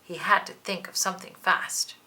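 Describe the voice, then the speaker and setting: A woman's voice: lowish voice, steady tone, moderate pace, sharp tone. A woman reading a children's storybook aloud, narrating expressively and dramatically. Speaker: children's book reader.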